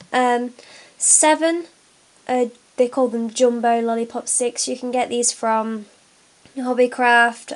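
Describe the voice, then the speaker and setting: Stuffy-nosed young woman demonstrating a craft. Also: fairly high pitch. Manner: chatty, many fillers, talks while working. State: congested from a cold. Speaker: craft tutorial maker. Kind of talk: casual hands-on tutorial. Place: at a table indoors, hands on camera.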